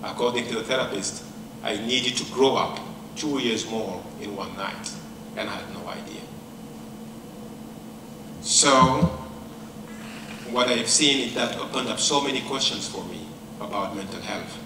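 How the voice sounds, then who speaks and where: A middle-aged man from Senegal, whose first language is not English, gives a personal lecture in a large hall, speaking in a measured, reflective way with many pauses. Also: mid-range voice, distant mic. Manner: reflective, measured, pausing often. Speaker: professor giving a lecture. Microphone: handheld mic, far camera. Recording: echoey hall mic with room noise.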